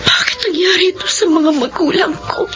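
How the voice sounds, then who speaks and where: A woman's voice: very high voice, a little fast. A woman acting a grieving, bitter young orphaned daughter in a Filipino radio drama, with an emotional, tearful delivery. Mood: bitter, weeping. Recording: dull lo-fi radio drama, loud music.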